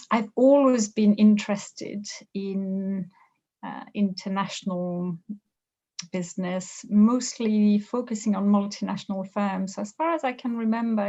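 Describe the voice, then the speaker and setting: A middle-aged woman from France with a French accent, a business professor answering interview questions thoughtfully, pausing often. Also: mid-range voice, a little mellow, lo-fi sound. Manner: thoughtful, with frequent hesitations and restarts.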